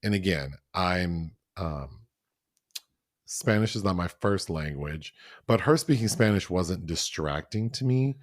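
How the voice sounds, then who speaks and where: A man with a low voice and dry, close sound, chatting casually as a podcast co-host. He talks loosely, with lots of fillers and restarts. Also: lively intonation, a little slow, no room sound. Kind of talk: casual film review chat.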